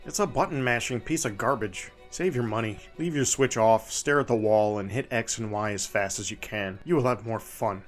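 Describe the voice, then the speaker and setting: A man's voice: lowish voice, normal speed, few pauses, faint music behind, voice pushed down, lightly acted. A man voicing a bitter, disgusted gamer in a terse, grumbling, dismissive dramatic reading. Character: bitter, disgusted, low-voiced disappointed gamer. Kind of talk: dramatic reading of a written review.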